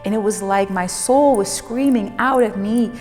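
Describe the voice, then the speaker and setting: A woman telling her personal story reflectively, unhurried and emphatic, with dramatic pauses. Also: medium pitch, a little mellow. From Netherlands. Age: 40s. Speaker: fitness instructor and speaker. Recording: long reverberant hall, music underneath. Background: music.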